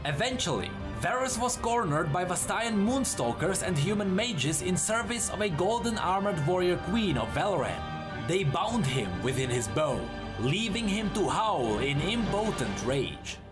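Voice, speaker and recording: A man reading scripted fantasy lore as a narrator, in a measured, dramatic storytelling manner. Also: sits high, big pitch swings, over music.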